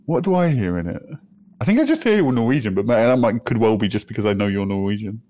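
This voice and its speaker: A man's voice: lowish voice, big pitch swings, fast, dark tone. A man from England co-hosting a casual chat show, talking in a loose, chatty way, repeating words and swearing now and then. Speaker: podcast co-host.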